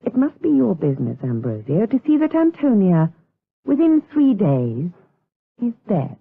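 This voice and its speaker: An actress playing a cool, scheming temptress in a gothic radio drama, measured, insinuating and commanding. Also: female voice, mid-range voice, wildly swooping pitch, creaky, breathy edge, exaggerated voice. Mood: sinister, commanding. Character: cool, scheming temptress coaxing a monk to evil. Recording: tinny, phone-like radio drama recording.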